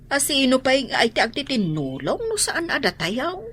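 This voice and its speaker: A woman's voice: high voice, wildly swooping pitch, moderate pace, fairly bright tone, a little hiss or hum, full character voice. A woman with a Filipino accent playing a supportive, kindly family member in an audio drama. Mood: helpful. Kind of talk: Ilocano audio drama acting.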